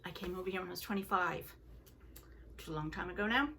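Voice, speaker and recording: An older woman from England with a softened Liverpool accent, hosting a knitting podcast from home and chatting casually with the viewer. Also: lowish voice, fast, vocal fry, very noisy. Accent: Canadian-influenced English, originally from Liverpool. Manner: chatty, rambling, self-deprecating. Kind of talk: casual chat to camera. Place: home, seated indoors.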